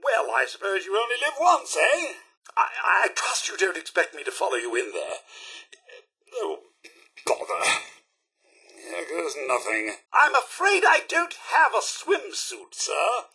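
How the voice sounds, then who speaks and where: A prim British robot servant from a video game, with a high voice that leaps up and down theatrically. The tone is piercingly bright yet has a raspy edge. The manner is formal and fussily courteous, with dry sarcasm. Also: male voice, wildly swooping pitch, unhurried, exaggerated voice. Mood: prim, rueful. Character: prim, fussy, polite British robot butler and attendant. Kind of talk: video game character voice lines.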